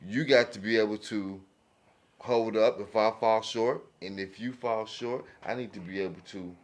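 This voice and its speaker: A man's voice: fairly low pitch, steady tone, normal speed. A man in a casual, opinionated group chat, speaking emphatically and often checking that listeners follow him. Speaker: podcast panelist.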